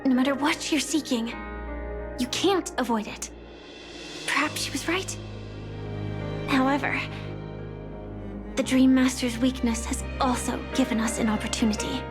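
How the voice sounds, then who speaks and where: Video game role: determined young fighter, high voice, sincere, over clear background music. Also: female voice, measured pace, pauses often. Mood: wistful, serious. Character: earnest, determined young female warrior with a mission. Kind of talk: scripted video game voice acting.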